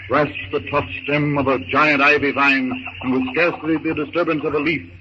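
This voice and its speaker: Theatrical 1930s radio narrator, male. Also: a little high. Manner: theatrical, deliberate. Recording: noisy, muffled 1930s radio recording.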